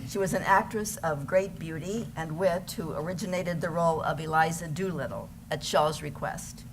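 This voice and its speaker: A woman with a somewhat low voice narrates from a script to a live audience. Background noise is clearly heard behind her. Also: steady tone, normal speed, rough recording. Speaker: narrator in an amateur readers theater.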